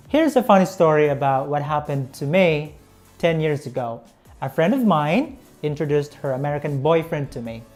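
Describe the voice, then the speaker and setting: A woman's voice: on the low side, darkish tone. A young woman with a Filipino accent, a native Visayan speaker, teaching an English lesson in a careful, encouraging way on a lapel mic. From Philippines. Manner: slow, careful, encouraging delivery.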